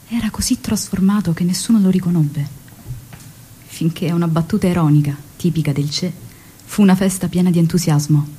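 An actress playing a tender, grieving widow of a revolutionary, recalling the past in an intimate, measured stage monologue. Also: female voice, sits low, mellow, slightly rough. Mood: wistful. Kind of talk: theatrical dramatic monologue. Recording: music behind the voice.

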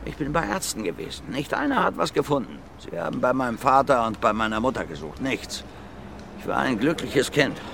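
An actor playing a gruff, blunt violent brawler in his fifties under questioning in a radio drama, plain-spoken and repetitive. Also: mid-range voice, sing-song, brisk, background noise. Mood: brooding, insistent. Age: 50s. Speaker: suspect being questioned.

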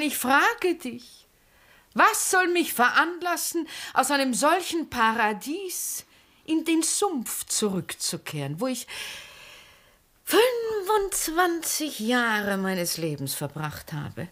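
An actress playing a vivacious, effusive middle-aged former stage star with an Austrian accent in a 1960s radio drama. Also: female voice, high-pitched, sing-song, slow, fairly bright tone, heavily acted. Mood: exuberant. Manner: theatrical, effusive, often breaking into laughter. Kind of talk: 1960s German-language radio drama acting.

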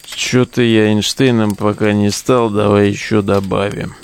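An actor in a modern audio drama playing a foul-mouthed, mocking relic digger who talks in coarse slang. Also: male voice, fairly low pitch, little pitch movement, unhurried, slight background noise. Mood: needling, tipsy. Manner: slangy, coarse, jeering banter.

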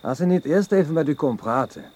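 A man's voice: fairly high pitch, expressive, fairly quick, darkish tone. An actor playing a mockingly polite henchman in a radio drama. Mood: mocking, smug. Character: menacing, mockingly courteous German henchman with a gun.

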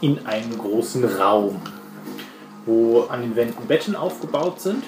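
A man's voice: mid-range voice, expressive, unhurried, music in the background. A man improvising narration as game master of a tabletop role-playing game. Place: indoor room at a game table. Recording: echoey room with clear background noise.

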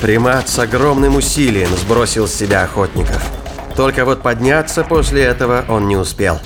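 A man's voice: medium pitch, animated, normal speed. A man reading scripted dramatic narration for an audio drama. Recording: loud music and effects behind. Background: music, sound effects.

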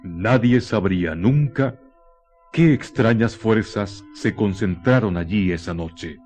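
Male radio drama narrator, lowish voice, mellow, slow and solemn over soft music. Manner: solemn, deliberate.

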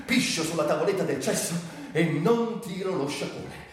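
A man's voice: fairly high pitch, moderate pace, lightly acted. An actor playing a bitter, sardonic, tormented man confined by illness in a theatrical monologue, volatile between muttering and declaiming. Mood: restless, brooding. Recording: very echoey, distant, faint noise.

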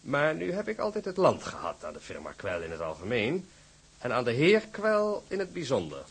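Pompous, swooping company director. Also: male voice, mid-range voice, huge pitch swings, normal speed, vocal fry, breathy edge, exaggerated voice. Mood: courteous, eager. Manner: pompous, flowery, courteous phrasing. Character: formal, eager, scheming male company director. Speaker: actor in a radio drama.

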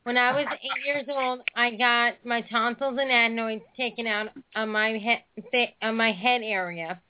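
A woman's voice: fairly high pitch, fairly even intonation, moderate pace, a little hiss or hum. A woman with a nasal voice, calling in to a talk show. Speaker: caller. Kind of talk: phone call to a radio show. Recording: tinny phone line.

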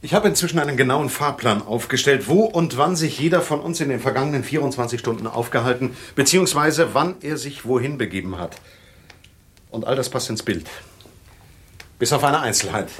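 A curious gentleman voiced by a male actor in a radio mystery. His voice is mid-range and brisk, with courteous, questioning phrasing. The recording carries slight noise. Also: mid-range voice, lively intonation, lightly acted. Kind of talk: German radio crime drama acting.

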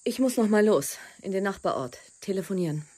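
An actress playing a self-assured young lover in a radio play, intimate and decisive. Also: female voice, medium pitch, animated, brisk, background noise, lightly acted. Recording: music behind the voice. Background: music.

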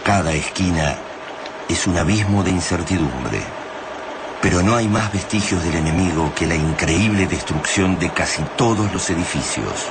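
A man's voice: deep voice, normal speed, heavy background noise. A man narrating a radio play about an apocalyptic war in a grave, dramatic style, as a scripted narrator. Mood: grave, suspenseful. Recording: loud music under the voice.

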